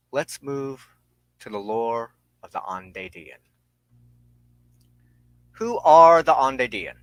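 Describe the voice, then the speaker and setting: A man hosting a solo spirituality show, lecturing on folklore in an earnest, measured way, partly reading from notes. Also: mid-range voice, fairly bright tone, no echo, low-quality recording. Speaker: host of a spirituality talk show.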